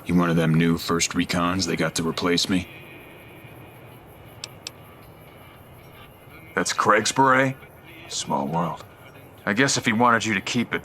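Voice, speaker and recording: An actor voicing a gruff, terse retired soldier turned caravan guard in a video game. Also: male voice, sits low, sharp tone, a little hiss or hum. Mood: dismissive. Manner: terse, matter-of-fact, stern. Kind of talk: video game character dialogue.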